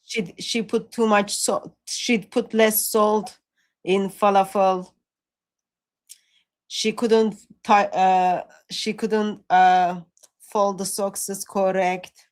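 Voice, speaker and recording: A woman's voice: mid-range voice, little pitch movement, slow pace. A woman co-hosting a live stream in casual chat. She teases and jokes with a dry, playful manner. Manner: teasing and playful, dry humor.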